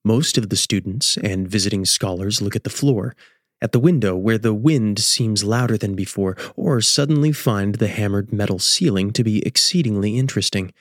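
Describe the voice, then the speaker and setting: A man's voice: fairly low pitch, moderate pace, no echo, studio quality. A man reading scripted story narration as the narrator of an audio drama.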